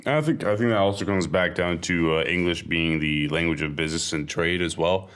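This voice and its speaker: A man with a very deep, low voice talks through his views in a relaxed podcast panel. He hedges often and pauses on fillers as he works out his points. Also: clean tone. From South Asia. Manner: thoughtful, hedging with fillers. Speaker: podcast co-host. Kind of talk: casual panel discussion.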